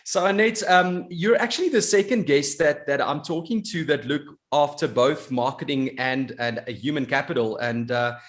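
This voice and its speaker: A man's voice: a little high, moderate pace, hardly any pauses, a bit bright. A man hosting a podcast interview, enthusiastic and full of praise for his guest. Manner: enthusiastic, effusive. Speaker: podcast host.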